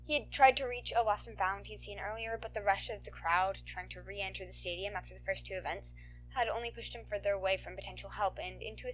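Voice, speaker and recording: A woman reading fan fiction aloud as an audiobook narrator, in an expressive scripted storytelling style. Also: medium pitch, slight background noise, poor audio.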